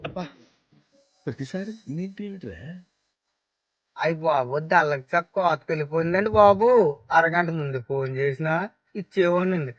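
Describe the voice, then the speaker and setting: A man, playing a naive villager in a scripted phone comedy. He rambles and breaks into sudden loud outbursts. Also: fairly high pitch, moderate pace, long pauses, dry recording, dull audio.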